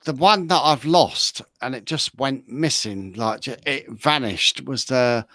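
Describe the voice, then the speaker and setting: A man's voice: medium pitch, measured pace, bright, forceful tone, dry recording, clean studio sound. A man from the UK with a British accent, chatting casually as a co-host. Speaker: show co-host. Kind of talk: casual chat.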